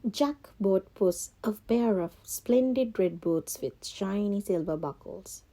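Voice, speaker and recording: A woman reading a scripted children's storybook as narrator, expressive and carefully phrased. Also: mid-range voice, slow.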